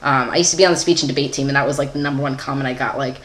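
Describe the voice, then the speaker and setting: A man's voice: medium pitch, fairly even intonation, rapid-fire, a bit bright, slight background noise. A young adult man giving a casual, candid personal video update in a rambling, giggly, self-deprecating way. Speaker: vlogger.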